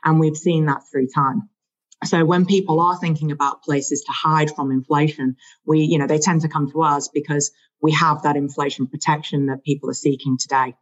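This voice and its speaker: An investment analyst guest explaining infrastructure investing, often pausing with "you know" fillers. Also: mid-range voice, little pitch movement, dull audio. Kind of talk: conversational explanation.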